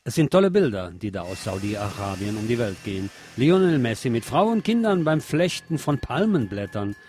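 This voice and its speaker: A man's voice: medium pitch, a little mellow. A man presenting news and commentary on radio, reading with wry, sardonic asides.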